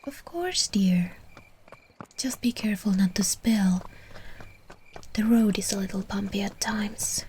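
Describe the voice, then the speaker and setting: A woman playing a soothing, genteel yet possessive vampire in a breathy, whispered ASMR roleplay. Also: mid-range voice, slight background noise, close to an everyday voice. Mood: soothing, tender. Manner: slow, gentle, genteel endearments.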